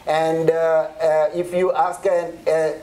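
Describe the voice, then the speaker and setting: High-voiced Indian man giving a lecture. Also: level tone, slow, dark tone. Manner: chatty, conversational, many restarts and fillers. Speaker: seminar presenter. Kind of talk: church seminar lecture.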